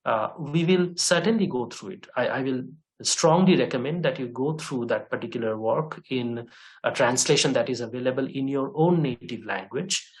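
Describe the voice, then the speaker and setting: A man who speaks English as his third language, teaching an online course and explaining slowly, with frequent fillers and restarts. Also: mid-range voice, rough recording. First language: not English. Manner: hesitant, many fillers and restarts. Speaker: course instructor.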